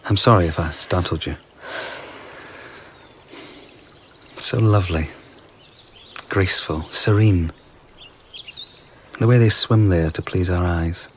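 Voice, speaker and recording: An actor playing an enigmatic, flirtatious traveller in a radio drama, telling tales in an unhurried, playful way. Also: male voice, deep voice, slight background noise. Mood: gentle, flirtatious. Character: enigmatic, charming, flirtatious well-travelled male storyteller of uncertain age. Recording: outdoor garden ambience with insect chirps.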